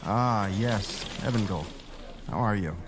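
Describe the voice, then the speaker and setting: Low-voiced, dry city politician. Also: male voice, a little slow. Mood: cordial, dry. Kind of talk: audio drama acting. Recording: faint ambient noise behind.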